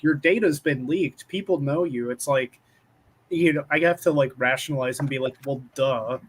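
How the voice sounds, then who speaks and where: A man's voice: a little high, a little hiss or hum. A young man hosting a casual online group hangout, joking and often restarting his sentences. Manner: joking, halting, repeats words. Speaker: host.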